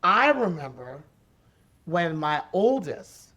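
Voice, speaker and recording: Woman with a deep, raspy voice. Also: takes it slowly, highs cut off. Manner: animated, emphatic, repeats key phrases. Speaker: podcast guest, special education advocate. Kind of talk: conversational interview storytelling.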